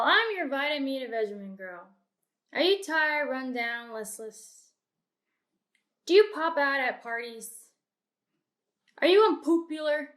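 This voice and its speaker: A woman as a peppy tonic saleswoman, very high voice, exclaiming salesy questions, old-time radio advert style. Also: fairly bright tone. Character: peppy female pitch girl for a tonic. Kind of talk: 1940s live radio commercial parody.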